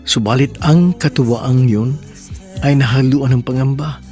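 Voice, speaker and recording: A man narrating a radio drama and reading its credits in a solemn, measured way. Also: mid-range voice, steady tone, darkish tone, faint music behind.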